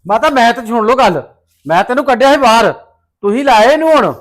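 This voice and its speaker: A man acting an angry young son fed up with family quarrels, talking fast and heatedly. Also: very high-pitched, animated. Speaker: son home from abroad.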